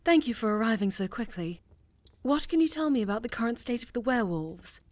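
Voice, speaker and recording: A woman playing a stern, highly strung witch leader in a fantasy ASMR roleplay, speaking formally and with command to a pupil. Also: expressive, brisk, darkish tone, no echo, lightly acted. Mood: brisk, anxious.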